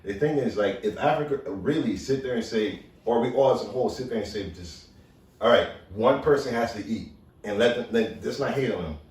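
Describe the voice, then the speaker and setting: A man from Liberia co-hosting a podcast in casual chat, getting animated when he argues a point. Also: mid-range voice, fairly quick. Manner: animated, rising sharply when worked up. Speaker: podcast co-host. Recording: roomy echo, far from mic.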